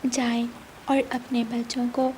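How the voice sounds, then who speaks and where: A woman voicing a gracious, grateful fairy in a cartoon fable, gentle and solemn. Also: on the high side, completely flat delivery, measured pace, very noisy, lightly acted. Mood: kindly. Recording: loud rain and thunder behind.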